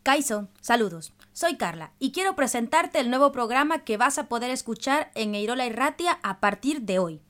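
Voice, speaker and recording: A woman with a fairly high voice presents on the radio. She explains in a teacherly manner, as if working from a prepared script. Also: expressive, normal speed, few pauses, fairly bright tone. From El Salvador. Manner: explanatory, didactic. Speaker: radio programme host.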